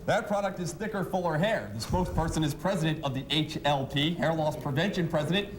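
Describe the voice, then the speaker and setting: A man's voice: fairly high pitch, animated, brisk. A man hosting a sports show with a polished announcer's delivery. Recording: crowd chatter behind the voice. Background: crowd chatter.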